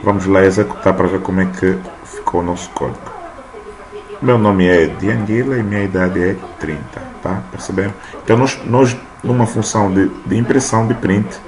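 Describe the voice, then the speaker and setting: A man's voice: fairly low pitch, darkish tone. A man in his thirties teaching programming while typing code on screen, often checking in with "tá?". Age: 30s. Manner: talks while typing, frequent "tá?" check-ins. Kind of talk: screen-recorded coding lesson. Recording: noisy room ambience.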